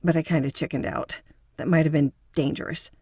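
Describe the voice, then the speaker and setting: A woman's voice: low voice, fairly even intonation, brisk, a little mellow, husky, no echo, clean studio sound. A woman playing an anxious but assertive feminist psychotherapist in an audio drama, with an earnest manner. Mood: earnest, worried.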